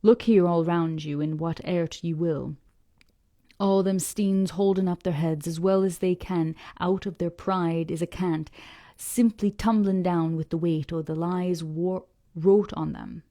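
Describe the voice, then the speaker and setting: Lady doing a preachy old fisherman, slow and dragged out, mellow lowish voice. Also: steady tone, no echo, clean studio sound. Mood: scornful. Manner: slow, dragged-out dialect speech. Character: old male fisherman, gruff, scornful, preachy.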